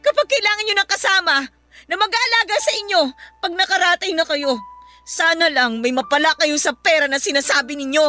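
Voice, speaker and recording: An earnest, high-voiced Filipina daughter. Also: female voice, a little fast, pushed and bright. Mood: anguished, resentful. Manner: pleading, emotional. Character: earnest, hurt young adult Filipina daughter, pleading. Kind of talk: Filipino radio drama acting. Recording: music behind the voice.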